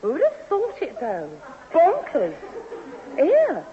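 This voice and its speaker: A woman's voice: extremely high pitch, huge pitch swings, slow, full character voice. A woman playing a streetwise, hard-nosed young gold-digger in a radio sitcom, blunt and cheeky, with a London accent. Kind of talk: British radio sitcom acting. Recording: muffled, reverberant old radio recording, faint noise.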